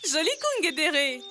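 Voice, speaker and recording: A very high, bouncy little-girl voice for a children's cartoon. The melody swings widely and the chatter is lively, with music behind it. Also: full character voice. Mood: cheerful. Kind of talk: dubbed children's educational cartoon. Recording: music under the dialogue.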